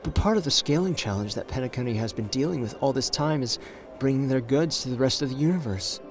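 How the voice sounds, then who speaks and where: Music plays clearly under a mid-pitched male voice. It is a man acting out a suave, self-assured gambler from an ASMR audio roleplay. He teases and flirts intimately, stretching out his phrases with a calculating confidence. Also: natural voice. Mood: smooth, relaxed. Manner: teasing, intimate, drawn-out phrasing with pet names.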